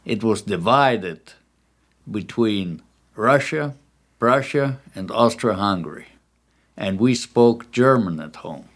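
Low-voiced man in his eighties, from Poland. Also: expressive, fairly bright tone. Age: 80s. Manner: measured, reflective recollection. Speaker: interviewee. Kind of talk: oral history interview. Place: his home.

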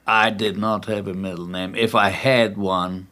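Older man, 80s, from Poland; low voice; measured, reflective oral-history interviewee. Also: fairly even intonation, pushed and bright. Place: his home.

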